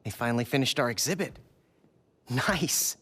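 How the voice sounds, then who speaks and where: A man's voice: mid-range voice, animated, normal speed, pushed and bright, breathy edge. An actor playing an earnest, eager young male adventurer in a video game cutscene. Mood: admiring.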